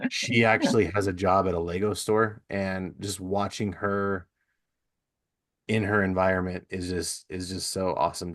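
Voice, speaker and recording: Male podcast host, middle-aged, low-pitched voice, loose conversational delivery, slangy and self-deprecating. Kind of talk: casual chat.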